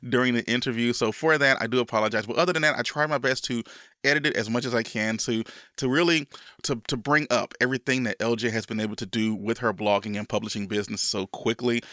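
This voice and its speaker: A man's voice: medium pitch, fairly even intonation, fast talker, sharp tone, dry recording, clean studio sound. A man hosting a podcast, sounding a little congested from a cold, enthusiastic and rambling with restarts. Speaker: podcast host. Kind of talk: casual podcast intro and interview.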